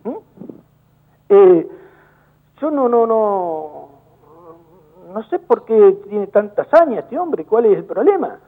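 A man's voice: high-pitched, big pitch swings. Indignant Argentine man on a tinny phone line. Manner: indignant, repeats words in rapid bursts. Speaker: business chamber director on a call. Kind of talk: heated phone-in interview. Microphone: telephone.

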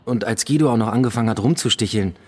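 An actor playing a contrite, impulsive police detective, earnest and halting, in a German radio drama. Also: male voice, medium pitch, a little hiss or hum, lightly acted. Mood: apologetic.

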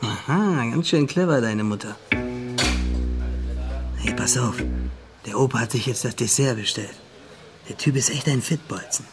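An actor playing a cocky, wary young street thief with slangy, teasing talk in a German radio play. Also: male voice, mid-range voice, unhurried, long pauses, loud music behind the voice, natural voice.

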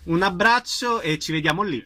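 Male, radio host, interview style, higher-pitched, bright tone. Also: moderate pace, a little hiss or hum.

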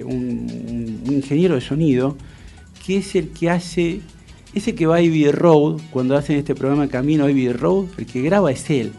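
A man with a Rioplatense Argentine accent hosting a radio interview, telling anecdotes in a casual, animated way and acting out what people said. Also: mid-range voice, vocal fry. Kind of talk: casual chat, storytelling.

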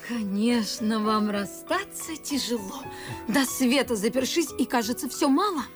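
A lively young maidservant in a verse radio drama. She is pert, anxious and sharp-tongued, her fairly high voice swinging up and down with each exclamation. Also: unhurried, over music. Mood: exasperated. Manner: animated, exclamatory. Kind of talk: classic verse radio play acting.

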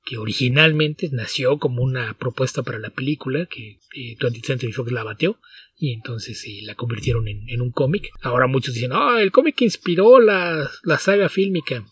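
Husky guy chatting comics on a podcast, sounds a bit far from the mic, wry and laid back. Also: medium pitch, normal speed. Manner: relaxed, wry, reads listener questions aloud. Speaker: podcast co-host. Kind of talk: casual comics chat.

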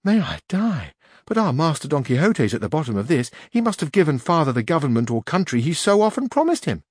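A man voicing an excited peasant girl of about fourteen in a storybook reading, eager and breathless. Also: fairly quick, breathy edge, no room sound, clean studio sound. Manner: eager and breathless, high-pitched.

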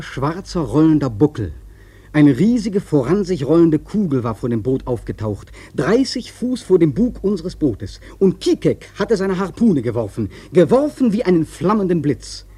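An intense sailor telling of his perilous voyage in a radio play. His voice is husky and mellow, his delivery literary and emphatic. The sound is close and dry. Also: on the high side, dry recording, full character voice. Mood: breathless, excited. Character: intense male sailor recounting a perilous whale hunt. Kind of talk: dramatic first-person radio play narration. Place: dry studio.

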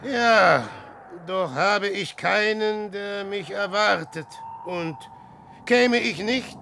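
An actor playing a boastful, self-centred, anguished wanderer in a theatrical, declamatory 1960s radio drama style. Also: male voice, sits high, drawn-out words, vocal fry, sharp tone, full character voice. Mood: brooding, self-important. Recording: vintage radio recording, faint noise.

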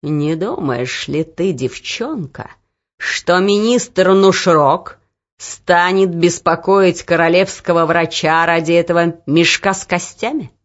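A woman voicing a cruel male overseer with a whip, growling out slow threats. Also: lowish voice. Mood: sneering. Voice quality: growling, gruff. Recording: dull lo-fi recording.

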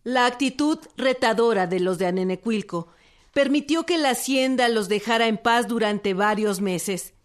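A woman's voice: fairly high pitch, normal speed. A woman giving scripted narration for a historical radio drama.

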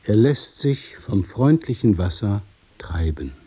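A man narrating a literary radio play in measured, observational short phrases. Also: fairly low pitch, very animated, dark tone, husky, dry recording, heavily acted.

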